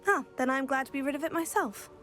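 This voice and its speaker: A woman's voice: sits high, quick pace, a bit bright, soft background music. A young woman playing a brave, curious teenage witch in a game, composed and inquisitive. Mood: friendly. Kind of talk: scripted game dialogue.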